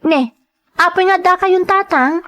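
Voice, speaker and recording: A woman's voice: extremely high pitch, measured pace, a bit bright. A woman playing a caring, worried adult daughter in an earnest, pleading drama style. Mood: concerned, chiding. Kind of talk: Ilocano radio-style drama acting.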